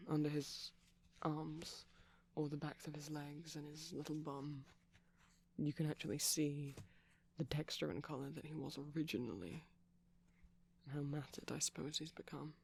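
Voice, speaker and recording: A man speaking softly and breathily in an ASMR boyfriend roleplay as a shy, gentle vampire, hesitant and often slipping into whispers. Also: a little high, steady tone, measured pace, gravelly, slight background noise. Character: shy, gentle pastel vampire boyfriend showing off plush toys.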